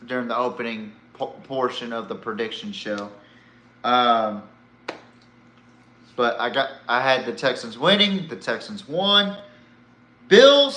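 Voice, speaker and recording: A man delivers casual sports commentary as a podcast host in an echoey room. His voice is bright and forceful, and he talks at an unhurried, slow pace. He is blunt, swears, and leans on rhetorical questions to make his points. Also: mid-range voice.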